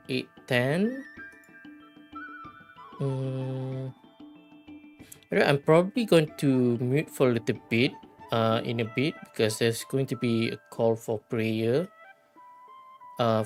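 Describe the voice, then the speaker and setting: A game livestreamer chatting casually, rambling and halting while thinking aloud. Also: medium pitch. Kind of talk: casual gaming stream chat.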